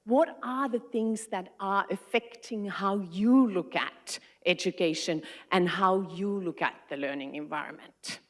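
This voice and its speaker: Woman, Finnish accent, mid pitch; friendly and engaging conference keynote who gets the audience involved. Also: a little slow, hardly any pauses. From Finland. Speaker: keynote speaker, education consultant. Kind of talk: conference talk to a large audience. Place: large conference hall.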